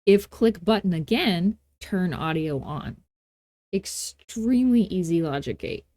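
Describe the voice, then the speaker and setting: A woman with a lowish, mellow voice talks through a software tutorial as its host. Her manner is casual and playful, with folksy phrasing and little asides at her own expense. The recording is dry and close, without room sound. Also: expressive, measured pace, dry recording. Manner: playful, self-deprecating asides, folksy informal grammar.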